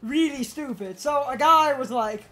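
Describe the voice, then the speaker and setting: A young woman co-hosting a let's play with casual, animated gaming commentary. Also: high voice, measured pace. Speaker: let's play co-host and editor. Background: video game music.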